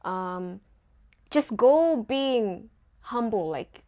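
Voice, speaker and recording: A woman's voice: on the high side, animated, drawn-out words, a little mellow. A young woman from Kenya, an engineering student, chatting casually as a guest and filling her talk with "like" and "you know". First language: not English; English one of her first languages. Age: young adult. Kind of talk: casual conversation.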